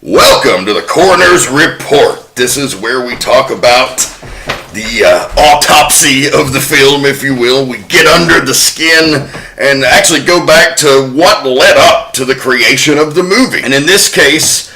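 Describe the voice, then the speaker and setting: A man's voice: mid-range voice, measured pace, bright, forceful tone, low-quality recording. A man hosting a podcast, chatting casually and telling a story with the odd swear word thrown in. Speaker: podcast host.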